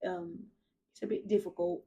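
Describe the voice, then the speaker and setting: A woman co-hosting a casual podcast, loud by her own account, who laughs often while she talks. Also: mid-range voice, big pitch swings, normal speed, creaky, breathy edge. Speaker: podcast co-host. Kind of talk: casual chat.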